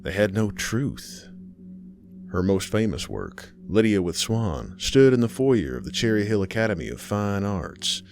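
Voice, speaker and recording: A man reading a scripted horror story in a dramatic, measured way, pausing between phrases. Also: low-pitched, a little hiss or hum.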